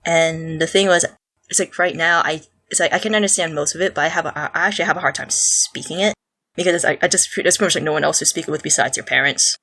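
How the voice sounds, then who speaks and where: A woman's voice: deep voice, bright, forceful tone. Woman co-host, hesitant, stumbling delivery with lots of fillers. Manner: halting, lots of false starts and fillers. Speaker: podcast co-host. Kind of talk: casual podcast chat.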